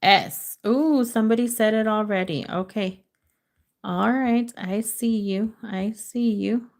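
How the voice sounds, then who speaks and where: Slow-talking woman hosting a live word game. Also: mid-range voice. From United States. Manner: slow, drawn-out letter reading, switches into Spanish. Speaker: livestream host running a word game. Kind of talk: casual live chat with viewers.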